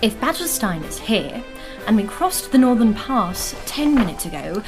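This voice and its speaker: A woman's voice: mid-range voice, very animated, moderate pace, over music. A woman playing a practical, wary elf mage in a fantasy audio drama, with a dry, mildly impatient manner. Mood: defensive.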